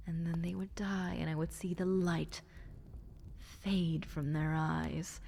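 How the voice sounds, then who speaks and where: A woman voicing a menacing, politely condescending female drider in an ASMR roleplay, speaking in a soft, breathy half-whisper. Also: on the low side, fairly even intonation, takes it slowly, slight background noise. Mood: sinister, amused.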